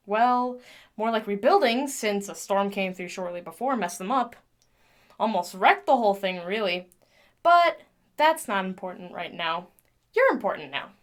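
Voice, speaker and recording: A woman playing a chatty, gentle mechanic in an audio drama, rambling affectionately to a broken machine. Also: mid-range voice, expressive, moderate pace, natural voice. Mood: fond, chatty. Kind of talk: audio drama monologue, conversational.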